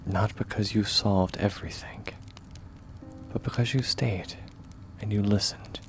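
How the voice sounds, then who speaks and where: A man voicing a kind, steadfast young warrior in a comfort ASMR roleplay, speaking gently and reassuringly in a measured, often hushed way. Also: on the low side, steady tone, soft background music. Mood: soothing, earnest.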